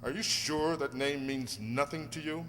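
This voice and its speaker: A man playing a polite, cautious lawyer in an amateur radio play, measured and hesitant, recorded in a reverberant hall. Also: fairly high pitch, a bit bright, lightly acted. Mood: cautious, resolved. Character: polite, earnest gentleman lawyer, cautious and determined. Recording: reverberant hall, faint noise and hum.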